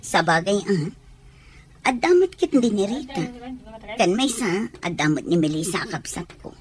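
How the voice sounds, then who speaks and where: A woman plays an Ilocano mother in a radio comedy drama. She sounds worried, speaking in a medium-pitched voice. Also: very animated, moderate pace, natural voice. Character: worried mother, far from her children.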